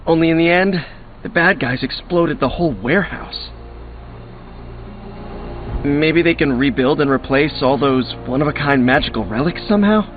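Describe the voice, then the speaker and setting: Game part: male actor as chatty, good-hearted young hero, very animated, thin sound with faint music. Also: a little high, normal speed, long pauses, close to an everyday voice. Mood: concerned. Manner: rambling, earnest, casual. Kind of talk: video game voice acting. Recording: tinny band-limited game audio with music.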